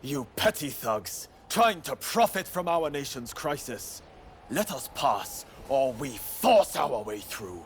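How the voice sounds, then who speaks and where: An earnest and proud young rebel samurai from a game cutscene. His mid-pitched voice moves widely in melody, over faint background ambience. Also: very animated, slow pace, a little hiss or hum. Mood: defiant. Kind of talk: video game cutscene acting.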